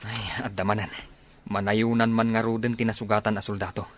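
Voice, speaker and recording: A man's voice: on the low side, flat delivery, a little fast, natural voice. A man playing a compassionate, troubled war-zone aid worker with an Ilocano accent in a radio drama. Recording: faint background noise and ambience.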